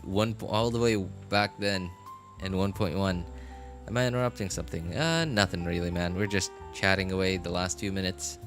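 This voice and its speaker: A man's voice: deep voice, moderate pace. A man live-streaming a game late at night, chatting with viewers in a rambling, hesitant way. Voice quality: rambling, hesitant, lots of fillers and restarts. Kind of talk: casual live-stream chat while gaming. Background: game music.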